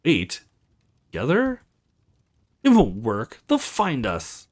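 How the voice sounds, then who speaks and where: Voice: mid-range voice, huge pitch swings, slow pace, a little mellow, heavily acted. A woman voicing a scared, innocent and curious little girl in a visual novel game, with music behind her. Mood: timid, questioning.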